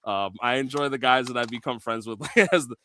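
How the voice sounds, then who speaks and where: A man from Guyana co-hosting a podcast in casual chat, animated and joking, with frequent restarts. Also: medium pitch, brisk, slight background noise. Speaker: podcast co-host.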